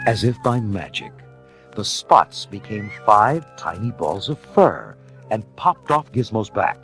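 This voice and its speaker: A man narrating a children's storybook in a dramatic style, as part of an audio dramatization. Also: mid-range voice.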